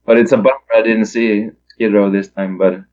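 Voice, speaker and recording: A man's voice: fairly low pitch, fairly even intonation, moderate pace, darkish tone, lo-fi sound. A Swedish man in his thirties, a musician guest in a casual interview, hesitant with lots of fillers. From Sweden.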